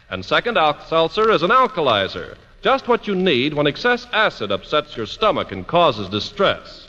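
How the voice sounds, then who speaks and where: A man delivers a 1940s radio announcement in a bright, rather high and lively voice. His pitch is polished and emphatic, and the old recording is dull with a long hall reverb.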